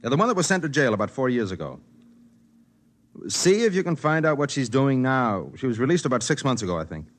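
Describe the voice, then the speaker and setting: A man's voice: mid-range voice, very animated, fairly quick, natural voice. An actor in a 1950s radio drama playing a wry, matter-of-fact newspaper reporter who tells his own story. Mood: brisk, businesslike. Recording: vintage 1950s radio broadcast.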